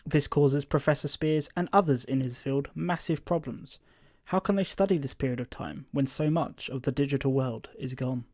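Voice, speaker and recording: Casting: male actor as podcast interviewer, polite, measured, slightly hesitant, soft mellow tone, dry close recording. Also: mid-range voice, no echo, close to an everyday voice. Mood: measured, narrating. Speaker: podcast host. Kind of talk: fiction podcast interview and narration.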